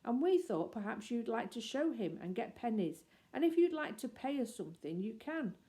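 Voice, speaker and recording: A woman's voice: a little high, very animated, normal speed, dark tone. A woman voicing an earnest young girl in a storybook, eagerly explaining and persuading.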